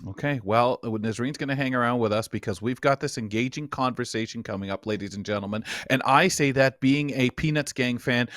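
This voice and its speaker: A man with a medium-pitched voice hosts a live talk show. He chats in a loose, rambling way, restarting sentences as he leads the conversation. The sound is dry, with little room in it. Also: dry recording. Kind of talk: chatty live show hosting.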